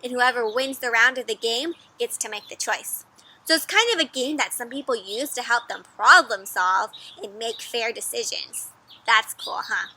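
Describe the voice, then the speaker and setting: A woman with a high, bright voice reads a storybook aloud to children. She is animated and encouraging, stopping often to ask her young listeners questions. The delivery is that of a friendly read-aloud host. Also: normal speed, slight background noise, rough recording. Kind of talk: interactive read-aloud for kids.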